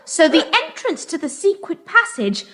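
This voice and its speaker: Bold, high-pitched British tomboy. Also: female voice, sing-song, moderate pace, big-room sound, background noise. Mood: keen, determined. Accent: British English. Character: bold, forthright tomboy girl adventurer. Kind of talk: children's audiobook drama acting.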